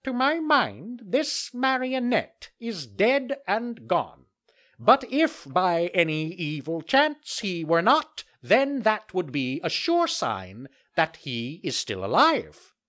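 A narrator voicing a pompous old male crow doctor who gives slow, solemn verdicts in a high, loud voice. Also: huge pitch swings, exaggerated voice.

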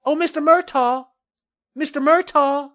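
Man putting on a high, cheerful woman reporter. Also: huge pitch swings, unhurried, heavily acted. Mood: cheerful calling. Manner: bright, cheerful, pointed. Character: cheerful, sly female TV news reporter.

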